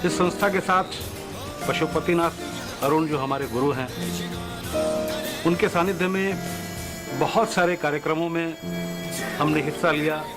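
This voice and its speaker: Bihari male artist, somewhat high voice, tribute talk with very loud music behind. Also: measured pace, long pauses. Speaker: stage artist, group member. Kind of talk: tribute testimonial.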